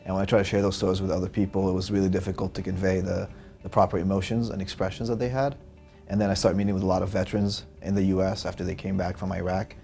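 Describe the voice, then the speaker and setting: A man's voice: deep voice, little pitch movement, fast, gravelly, darkish tone, faint music behind. A young man, a startup founder giving earnest interview answers with frequent fillers. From Lebanon.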